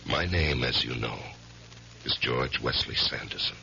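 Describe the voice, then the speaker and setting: An actor playing an anguished lawyer in his forties, halting and uneasy, in a 1970s radio mystery. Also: extremely low pitch, creaky, background noise, heavily acted. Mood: troubled, confessional. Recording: dull lo-fi 1970s radio recording.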